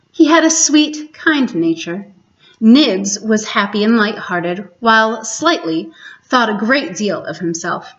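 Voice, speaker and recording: A woman storyteller reading a children's bedtime story aloud in a measured, expressive way with dramatic emphasis. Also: medium pitch, lo-fi sound. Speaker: library storyteller, host.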